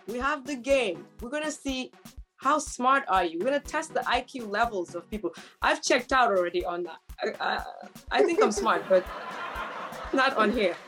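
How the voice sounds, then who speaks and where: A woman hosting a casual talk show with lively, teasing, playful banter. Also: on the high side, normal speed, faint music behind.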